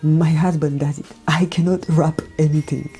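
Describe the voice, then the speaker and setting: A woman from Romania with a Romanian accent, speaking a gentle, careful voiceover for her makeup tutorial, often dropping near a whisper. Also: low voice, steady tone, moderate pace. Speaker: beauty vlogger. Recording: voiceover with background music.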